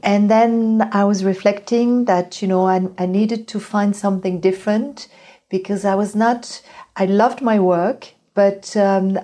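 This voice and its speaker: Mellow-voiced woman, halting speech. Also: mid-range voice. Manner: halting, restarting phrases, hesitant. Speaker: guest, former artist coach. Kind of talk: conversational interview answer.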